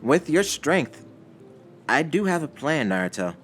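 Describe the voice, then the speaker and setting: A man voicing a calm teenage girl hero in a story reading, frank and friendly in a matter-of-fact way. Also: a little high, unhurried, soft background music, voice pushed up. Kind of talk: fan fiction story reading.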